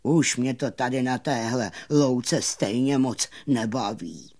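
A woman's voice: very deep voice, darkish tone. A woman voicing a grumpy male bumblebee in a children's story, with a grumbling, mumbled, plain-spoken delivery. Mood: dreamy.

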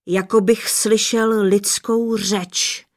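A woman voicing a mighty, stern lord of storms as a slow, grave, booming father in a storybook reading. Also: little pitch movement, close to an everyday voice. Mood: stern, suspicious.

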